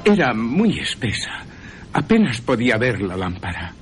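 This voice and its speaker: A man's voice: mid-range voice, wildly swooping pitch, unhurried, faint music behind, voice pushed up. A man voicing a frightened young woman who recounts a nightmare in an anguished, dramatic way. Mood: anguished, fearful.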